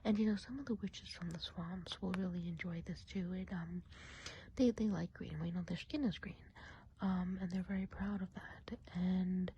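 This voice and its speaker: A chatty, kindly witch of an enchanted forest, played by a woman whispers in an ASMR roleplay. The voice is soft, breathy and slightly low, drifting along unhurriedly as it muses and gossips. A faint noise sits in the background. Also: steady tone, highs cut off, close to an everyday voice. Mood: musing, gentle. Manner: unhurried, gossipy, hesitant musing. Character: chatty, friendly witch of the enchanted woods, event planner.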